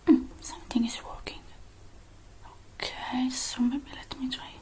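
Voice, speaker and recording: A woman speaking in a breathy, mostly unvoiced whisper, gentle and hushed with many pauses, as in a close-miked ASMR roleplay. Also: high-pitched, level tone. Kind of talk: whispered ASMR roleplay, one-sided personal attention.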